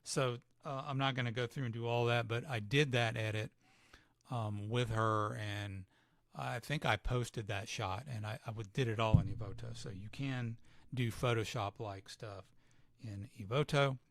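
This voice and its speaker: A man giving a casual live software tutorial, thinking aloud with fillers, his voice starting to go. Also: mid-range voice, steady tone, moderate pace, dry recording, clean studio sound. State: losing his voice. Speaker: photographer demonstrating editing software.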